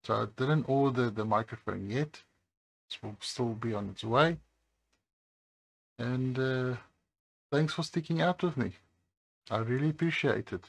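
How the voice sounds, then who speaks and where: A man with a low voice talking slowly and casually at his workbench, with many pauses and hesitations. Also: vocal fry. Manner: slow, relaxed, with many hesitations. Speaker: phone repair channel host. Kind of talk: casual talk to camera while working. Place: workbench by a highway.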